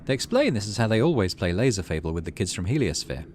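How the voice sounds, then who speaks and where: A man's voice: on the low side, sing-song, quick pace, a little mellow, slight background noise. A man giving casual gaming commentary, reading game text aloud and muttering as he thinks. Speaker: let's play host.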